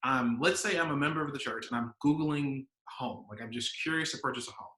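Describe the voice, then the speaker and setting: A man's voice: mid-range voice, brisk, pushed and bright, echoey room, low-quality recording. An upbeat American man, a pastor from Wisconsin, hosting an informal conversational interview over a video call. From Wisconsin, United States. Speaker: pastor and host.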